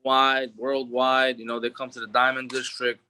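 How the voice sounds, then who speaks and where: A man's voice: mid-range voice, normal speed, clear, resonant tone. A man in his thirties with a New York accent, talking casually as an interview guest with lots of fillers and restarts. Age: 30s. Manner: relaxed street talk with many fillers and restarts.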